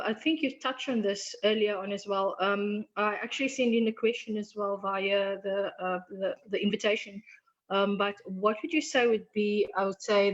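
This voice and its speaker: Hesitant woman with a flat voice. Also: mid-range voice, dull audio. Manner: hesitant, lots of ums. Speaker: participant asking a question. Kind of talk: question on a video call.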